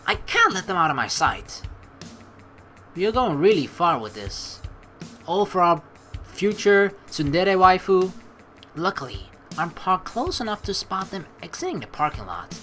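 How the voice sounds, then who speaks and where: A woman hosting a let's play, reading game narration aloud and adding chatty, rambling commentary in an expressive way. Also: fairly low pitch.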